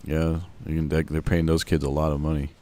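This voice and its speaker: A man's voice: rumbling low, little pitch movement, brisk, a little mellow. A man co-hosting a livestream chat, talking casually with wry, joking asides. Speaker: co-host.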